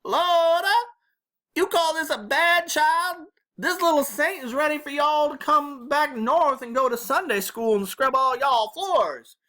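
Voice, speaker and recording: A woman's voice: a little high, sing-song, moderate pace, fairly bright tone, voice pushed up. A woman voicing a feisty, bossy old grandmother with a Southern American accent and a folksy, scolding, emphatic way of talking, as in a storybook. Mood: loud scolding.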